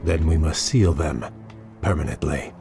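An actor playing a noble young elven prince in a fantasy game, speaking in a formal, earnest, respectful way. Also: male voice, extremely low pitch, unhurried, creaky, dark tone, faint music behind, exaggerated voice. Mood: determined. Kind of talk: dramatic fantasy game cutscene acting.

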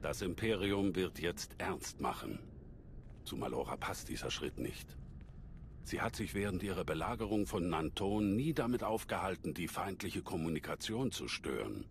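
An actor playing a calm, wise old alien Jedi master in a video game, speaking in a measured, formal way. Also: male voice, low-pitched, creaky, slight background noise. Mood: grave. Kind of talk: video game cutscene acting.

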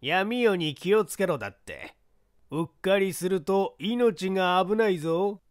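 Male reader as scornful businessman, offhand, amused, raised swooping pitch, mystery audiobook. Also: animated, measured pace, dry recording, studio quality. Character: languid, unworried male company president, breezy and scornful.

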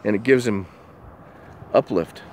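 A man's voice: fairly low pitch, unhurried, a little mellow, slight background noise. A man chatting casually outdoors on a snowy mountain roadside, explaining what he sees as he films. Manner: enthusiastic, explaining. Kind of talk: casual chat.

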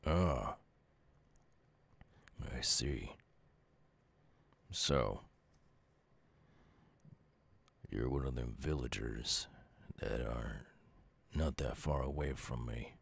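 Very deep, gravelly Southern werewolf. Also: male voice, dry recording, heavily acted. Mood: weary, wounded. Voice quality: gravelly, husky. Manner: halting, low drawl. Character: gruff wounded male werewolf outcast, weary and guarded. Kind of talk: slow ASMR audio roleplay.

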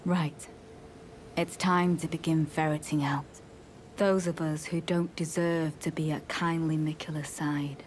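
A low female voice plays a devout knight in a fantasy video game. She speaks in measured, archaic, courteous phrases with a coldly zealous edge. Faint ambience sits behind her. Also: flat delivery, slight background noise. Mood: quietly resolute. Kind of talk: scripted video game dialogue.

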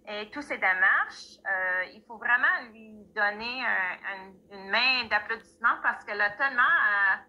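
Slow, bright-voiced woman hosting a call. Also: a little high. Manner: hesitant, apologetic, switching French and English. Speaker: event host. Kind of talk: introducing a speaker on a video call. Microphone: video call. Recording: dull lo-fi call audio.

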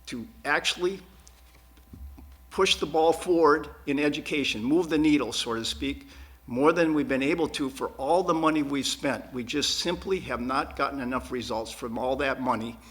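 A man answers questions as a political candidate in a studio forum. His delivery is earnest and emphatic, with words repeated for stress. His pitch sits in an ordinary male range. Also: normal speed, fairly bright tone. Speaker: school board candidate. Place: public access TV studio.

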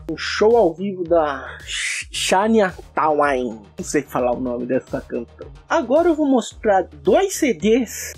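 A man chats casually and slangily to camera as a vlog host. His voice is mellow and a little husky, close on a studio mic in a shelf-lined room. Faint music plays in the background. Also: high-pitched. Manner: chatty and slangy, talking straight to camera. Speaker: host of a CD-collecting channel. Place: indoor room lined with shelves of discs. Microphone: large studio mic in front of the face.

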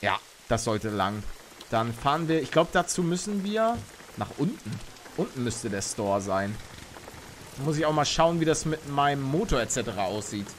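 A young man streaming a game live, chatting with his viewers and reacting loudly, close to a studio mic at home. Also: medium pitch, moderate pace, a little hiss or hum. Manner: chatty, reads chat aloud, bursts into excited shouts. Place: home streaming room, indoors. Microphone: studio mic on arm near face.

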